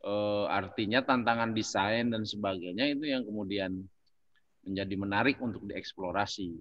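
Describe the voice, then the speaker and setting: A man talking casually in a discussion, haltingly searching for words as he thinks aloud. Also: on the low side, fairly bright tone, no echo, muffled recording. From Indonesia. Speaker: panelist.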